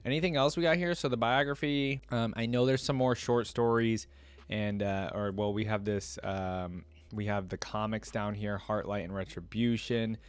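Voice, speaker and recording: A man's voice: lowish voice, fairly even intonation, moderate pace, clear, resonant tone, no echo. A young man hosting a reaction video, reading passages aloud and breaking off into casual, filler-heavy asides. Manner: reads text aloud, then breaks off with chatty asides and filler.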